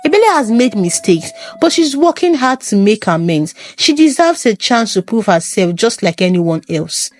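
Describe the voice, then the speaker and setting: A woman's voice: fairly high pitch, sing-song, moderate pace, hardly any pauses, darkish tone. A woman storyteller voicing a principled, supportive village man in an earnest manner. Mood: firm, defending.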